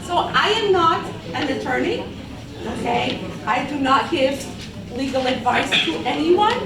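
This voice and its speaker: A woman's voice: sits high, lively intonation, measured pace. A woman from Portugal, a community engagement coordinator, giving a patient workshop talk and often checking in with "okay?". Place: large public hall with an audience. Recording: echoey hall from far off, crowd noise.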